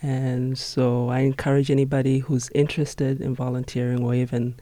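A man in his thirties from Zimbabwe, speaking as an interview guest in a radio studio in an earnest, measured, reflective way. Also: mid-range voice, little pitch movement, warm tone, dry recording. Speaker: interview guest, nonprofit managing director.

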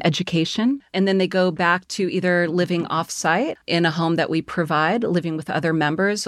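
A woman from Phoenix, Arizona, a service manager, speaking relaxed and conversational in a radio interview. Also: sits low, no room sound, studio quality.

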